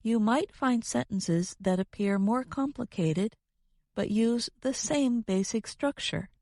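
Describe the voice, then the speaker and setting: A woman's voice: on the high side, expressive, slow, warm tone, no room sound, clean studio sound. A woman co-hosting an educational podcast, reading a scripted lesson in a careful, instructional manner. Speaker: co-host of an English lesson podcast.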